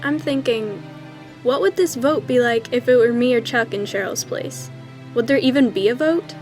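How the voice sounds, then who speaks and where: A woman's voice: on the high side, normal speed, darkish tone, natural voice. A woman playing a defiant, pointed teenage girl in an audio drama. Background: music behind the voice.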